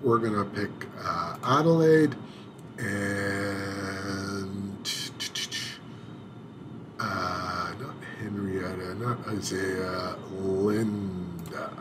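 A man with a fairly low voice talks slowly through a computer walkthrough, stopping to hum and restart as he clicks along. A faint hiss sits under his voice at the desk mic. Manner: unhurried, hesitant, many filler sounds and restarts. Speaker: IT administrator demonstrating software setup. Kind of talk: screen-recorded tutorial walkthrough.

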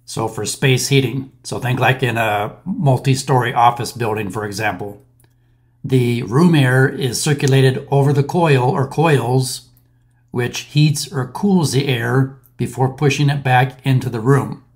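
A man in his thirties talks through a technical topic in a close-miked voice-over. His voice sits at an ordinary middle pitch and his delivery is plain and methodical, with occasional fillers as he moves from point to point. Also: a little slow. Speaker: maintenance instructor. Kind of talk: explainer voice-over over slides.

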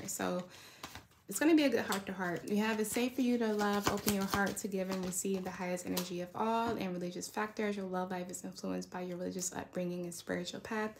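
A woman's voice: medium pitch, gravelly, noisy background. A woman giving a casual, rambling tarot card reading in a conversational way, often checking in with the listener. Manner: conversational, rambling, checks in with "right?" and "you know". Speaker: tarot reader.

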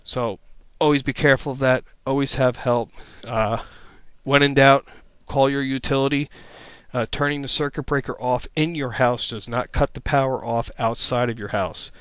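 A man's voice: medium pitch, fairly even intonation, moderate pace, fairly bright tone, clean tone, no room sound. A man narrating an informal slide presentation as an instructor, explaining things in a plain-spoken, matter-of-fact way.

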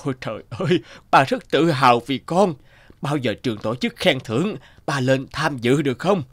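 A man playing a kind, devoted elderly countryman father in a cartoon, speaking gently and earnestly. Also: medium pitch, expressive, moderate pace, dry recording, close to an everyday voice. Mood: fond, proud. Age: older. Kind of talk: animated cartoon dialogue. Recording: music behind the voice.

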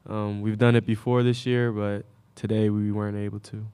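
A man's voice: lowish voice, level tone, normal speed, dark tone, clear, resonant tone. A man in his early twenties, a college athlete, answering press questions in a hesitant, subdued way. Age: early 20s. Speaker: college basketball player. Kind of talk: postgame press conference answers. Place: indoor press conference room. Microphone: table microphone.

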